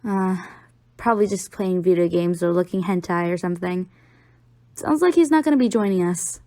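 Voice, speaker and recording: A woman's voice: fairly low pitch, moderate pace, dark tone, no echo, close to an everyday voice. Woman acting as a teasing college girlfriend, dry recording. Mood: playful. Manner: playful, teasing. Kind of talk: audio roleplay, addressed to the listener.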